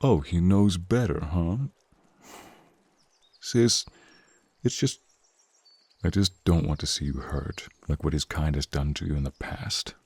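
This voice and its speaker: A man as a low, gruff dragon brother. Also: measured pace, pauses often, darkish tone, no echo, heavily acted. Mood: protective, bitter. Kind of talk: ASMR roleplay.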